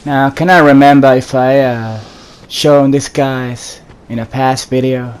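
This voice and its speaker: A man talks through his own gameplay in a low-key, casual way. His voice stays at a middling pitch with very little rise and fall. He thinks aloud in loose fragments, and faint background noise runs under the recording. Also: unhurried. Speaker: gamer narrating own playthrough. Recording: steady background noise behind voice.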